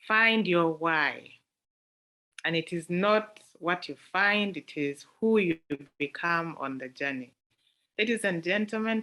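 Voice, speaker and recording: A woman's voice: on the low side, pushed and bright, rough recording. A woman with a Ugandan accent hosting an online club meeting, hesitant and full of fillers. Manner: hesitant, fillers and repeated words. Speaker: meeting host. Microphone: video call.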